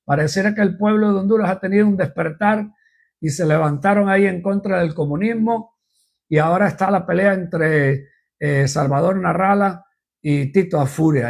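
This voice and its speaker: A man's voice: on the high side, creaky. An older man from Cuba, preaching informally on a live program, rambling through his testimony and repeating refrains.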